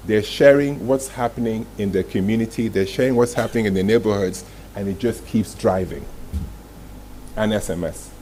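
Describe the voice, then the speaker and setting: A man's voice: lowish voice, moderate pace, mellow. A man presenting a motivational masterclass to a live audience, telling stories emphatically in short dramatic phrases with rhetorical questions. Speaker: masterclass speaker, communications executive. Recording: clearly noisy live room recording.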